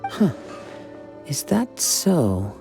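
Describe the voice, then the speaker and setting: An actor playing a calm, polite wandering young samurai in a video game, with composed, formal speech. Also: male voice, on the high side, very slow, creaky, full character voice. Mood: thoughtful. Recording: music behind the voice.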